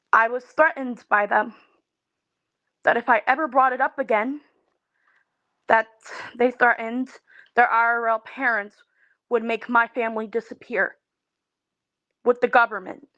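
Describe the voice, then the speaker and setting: A woman in her twenties venting in a personal video, talking in a halting, weary way with many pauses and restarts. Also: a little high, a bit bright, no room sound, lo-fi sound. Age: 20s. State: emotionally tired. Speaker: content creator.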